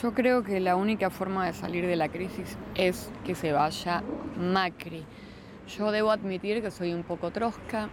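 A woman with a Rioplatense Argentine accent chatting informally and giving frank opinions as an interview guest. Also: on the low side. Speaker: interviewed guest, children's science entertainer and writer. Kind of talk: casual chat, answering interview questions. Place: busy brewery bar hosting an event. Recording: crowded venue with urban background noise. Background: crowd and street noise.

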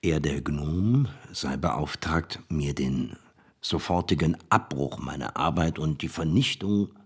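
Self-important scientist, radio drama, very deep, slow, confiding, fame-hungry. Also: male voice, heavily acted. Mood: uneasy recounting. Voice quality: husky. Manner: confiding, addresses listeners as pals. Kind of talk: radio play, first-person account.

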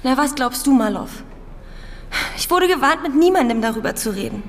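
High-voiced proud young student, reverberant. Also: female voice, animated, fairly quick, slight background noise. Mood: bitter, fearful. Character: proud, indignant, frightened young female student. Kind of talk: German radio play acting.

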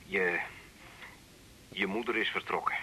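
A dejected older father with a low voice. His tone is sharp and bright, and he talks haltingly and apologetically. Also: steady tone, slightly rough, heavily acted, low-quality recording. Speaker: father calling. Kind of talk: 1980s radio drama acting.

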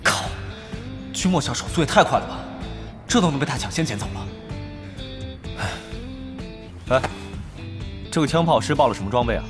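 男演员配的起伏明显的警觉年轻独行玩家. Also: male voice, mid-range voice, lively intonation, quick pace, natural voice. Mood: grumbling. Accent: Mainland Chinese Mandarin. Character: wary, grumbling young male lone gamer. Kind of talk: audio drama acting, muttered asides. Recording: music behind the voice.

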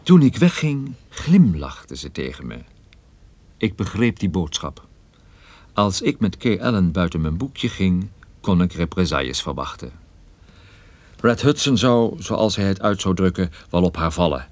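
A man acting as a wry, world-weary private detective narrating a radio drama in a dry, measured, husky way. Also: sits low, big pitch swings, a little mellow, dry recording, full character voice. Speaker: narrator and lead actor in a radio play.

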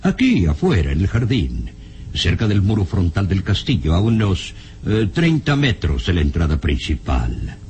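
An actor playing a grave, shaken professor who recounts dark secrets in a dramatic, halting radionovela manner. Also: male voice, wildly swooping pitch. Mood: grave, secretive. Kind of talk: old radio serial acting. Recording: noisy old radio serial recording, rumble.

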